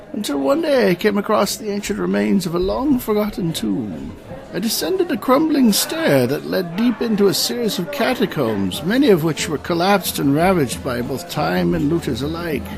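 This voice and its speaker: A man's voice: sits high, creaky, exaggerated voice. A man playing an ancient, courteous knight who tells long tales in a formal, old-fashioned way in a fantasy audio drama.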